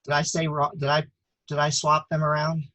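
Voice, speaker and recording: A man's voice: a little high, completely flat delivery, a little fast, sharp tone, no echo, highs cut off. A man hosting an online premiere chat, speaking with many fillers and restarts. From United States. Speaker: author and host.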